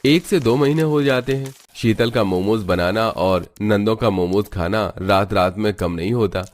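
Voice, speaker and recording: Low-pitched man narrating a cartoon story. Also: normal speed.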